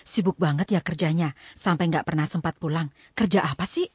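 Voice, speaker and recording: A woman playing a prying, insinuating neighbour in a radio drama, with a husky voice. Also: sits low, brisk, a little mellow, dry recording.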